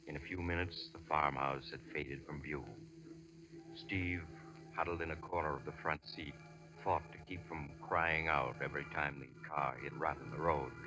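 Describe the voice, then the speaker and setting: A man playing an ominous storyteller, narrating a 1940s radio crime drama in a measured, suspenseful manner. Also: rumbling low, fairly even intonation, low-quality recording. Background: music under the voice.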